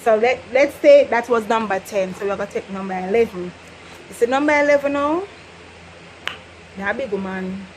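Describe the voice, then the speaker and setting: A young woman from Jamaica with a Jamaican accent, teaching patois in an animated, laughing casual vlog. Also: on the high side, normal speed, a little hiss or hum, poor audio. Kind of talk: casual chatty lesson.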